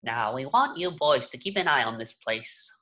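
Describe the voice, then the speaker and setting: A man's voice: mid-range voice, lively intonation, normal speed, pushed and bright. A man voicing a stern, cranky elderly superhero sidekick in a cartoon table reading. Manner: stern, emphatic.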